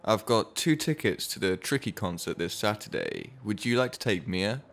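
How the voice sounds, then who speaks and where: An actor playing a proud, assured husband with a deliberate manner in a radio drama. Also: male voice, lowish voice, lively intonation, a bit bright. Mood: affable, boastful. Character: Charles, proud record producer husband with menacing streak. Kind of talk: naturalistic radio drama dialogue.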